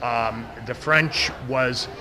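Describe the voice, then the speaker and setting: A man's voice: mid-range voice, extremely slow. A middle-aged American man who says he has a big voice, answering interview questions with many restarts. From United States. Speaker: interview guest, event professional. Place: outdoor event media wall. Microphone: handheld mic held by interviewer. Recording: outdoor traffic noise behind.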